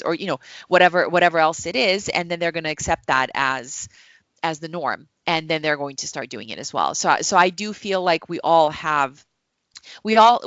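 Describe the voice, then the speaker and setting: A woman from Serbia speaks as a psychologist guest on a podcast, earnest and thoughtful, restarting her sentences often. Her voice is bright and forceful. The recording is dry and slightly dull. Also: deep voice, dry recording. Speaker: psychologist and parenting coach, guest. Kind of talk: podcast interview answer.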